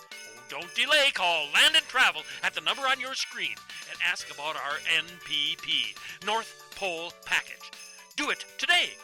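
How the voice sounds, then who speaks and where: An actor playing a brash, slick travel agent giving a showy hard-sell pitch in radio comedy style. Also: male voice, sing-song, moderate pace, sharp tone, soft background music, full character voice. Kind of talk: parody commercial pitch, radio comedy acting.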